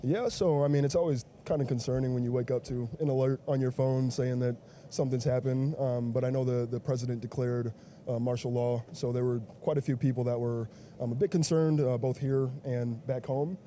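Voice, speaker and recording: A man's voice: medium pitch, fairly even intonation, a little fast, mellow, slight background noise. An American man from Chicago answering casually as a tourist in a street interview. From United States, Chicago.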